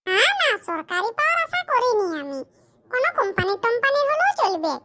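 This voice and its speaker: A performer voicing a dutiful young village son in a comedy cartoon, with a shrill pitched-up voice. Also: very animated, brisk, bright, forceful tone, heavily acted. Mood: earnest.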